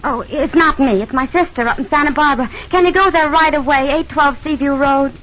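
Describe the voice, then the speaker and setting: A woman's voice: high voice, brisk, a bit bright. A woman playing a shifty, nervously bluffing young dame in a 1940s radio drama. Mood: nervous, evasive. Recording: muffled noisy old radio recording.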